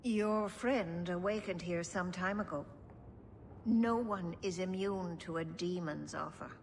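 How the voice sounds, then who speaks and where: An actress playing a calm, dignified older elven keeper who speaks slowly and formally. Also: female voice, fairly low pitch, in a large hall, slight background noise. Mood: grave, grateful. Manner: slow, measured and formal. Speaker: elf clan keeper.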